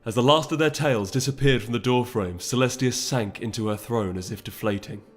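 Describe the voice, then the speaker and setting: A man reads a fantasy story as its narrator. His voice sits at a middling pitch, with music playing behind the scripted narration. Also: brisk. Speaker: audiobook narrator.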